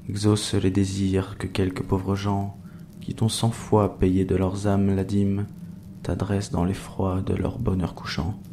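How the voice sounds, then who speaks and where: A man playing a world-weary young atheist who solemnly recites a drawn-out satanic incantation in an audio drama. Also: sits low, heavily acted. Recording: clearly noisy recording.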